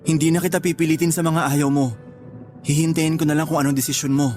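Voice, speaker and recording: Dark-voiced, sheepish young boyfriend, acted by a man. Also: on the high side, little pitch movement, quick pace, faint music behind, close to an everyday voice. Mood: ashamed, subdued. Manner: apologetic, subdued, terse. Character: remorseful, sheepish young boyfriend pleading for forgiveness. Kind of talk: Tagalog radio drama dialogue.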